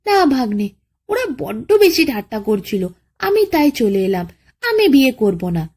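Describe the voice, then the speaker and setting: A woman's voice: a little high, sing-song, measured pace, a little mellow. A woman playing a foolish, gullible male tiger in a children's folk tale, blustering and whining naively. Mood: grumbling.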